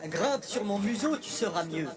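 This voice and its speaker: A sly fox acted by a woman, soft and smooth-talking, with lively swings in pitch. He coaxes with false reassurance in cartoon storybook style, music clearly behind. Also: normal speed, lightly acted. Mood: coaxing. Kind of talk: children's cartoon fairy tale dub.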